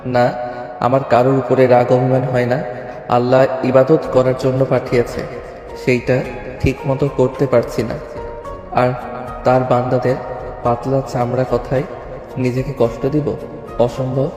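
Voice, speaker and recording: A man playing a calm, principled, devout college student in an audio story, with a serious, restrained manner. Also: medium pitch, measured pace, long pauses, lightly acted. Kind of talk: Bengali audio story acting. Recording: echoey long reverb, music bed.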